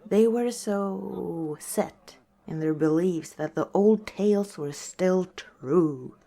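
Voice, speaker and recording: A woman voicing a gentle, wistful female orc tavern owner in a soft-spoken, hesitant ASMR roleplay. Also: fairly low pitch, slow pace, vocal fry, full character voice. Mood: rueful.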